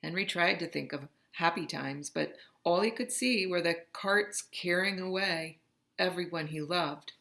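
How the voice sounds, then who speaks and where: Woman storybook narrator, unhurried and dramatic, with a low-pitched, crisp-edged bright delivery. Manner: expressive, dramatic reading. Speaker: storybook reader. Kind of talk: read-aloud narration of a children's picture book.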